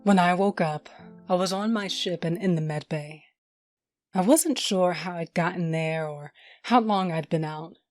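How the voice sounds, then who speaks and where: Woman acting a low-voiced, wry smuggler heroine. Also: unhurried, a little mellow, no room sound, soft background music, natural voice. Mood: wry, tender narration. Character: tough, wry female smuggler, wounded and sardonic. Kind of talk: first-person audio drama narration with dialogue.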